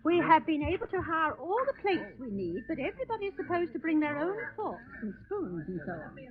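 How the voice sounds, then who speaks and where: A woman's voice: high voice, very animated, brisk. A woman from England speaking in a scripted 1940s radio broadcast style. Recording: tinny 1940 radio archive recording, noisy crowd. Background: crowd in an underground shelter.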